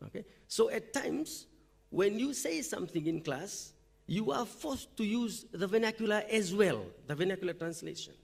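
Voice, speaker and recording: High, gravelly-voiced man giving a conference talk. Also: lively intonation, moderate pace. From Fiji. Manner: conversational, frequent "okay?" check-ins. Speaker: university lecturer presenting at a teaching forum. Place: lecture hall or forum room.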